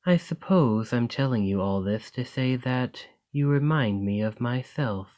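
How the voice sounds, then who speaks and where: A man voicing a calm, gracious male lamia noble merchant in an ASMR roleplay, speaking softly in a measured, formal way. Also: medium pitch, close to an everyday voice. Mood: reminiscing. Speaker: boss speaking to the listener.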